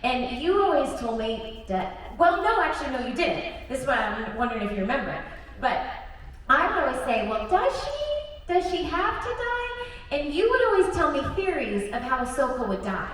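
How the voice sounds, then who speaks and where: A woman voice actor telling a story on a convention panel, animated and earnest. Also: high voice, normal speed, slight background noise. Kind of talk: panel anecdote. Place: large convention stage hall. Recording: echoey hall from far off.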